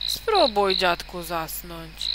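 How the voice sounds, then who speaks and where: A man voicing a fretful old storybook grandma in a slow, drawn-out way. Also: high voice, very animated, heavy background noise, pitched above the performer's own voice, full character voice. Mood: coaxing.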